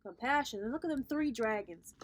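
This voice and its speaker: A woman in her thirties with a slightly high voice talks straight to her viewers in a relaxed tarot reading. Her delivery is sassy and playful, full of casual swearing, as she coaches her audience on their spiritual path. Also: fairly quick. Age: 30s.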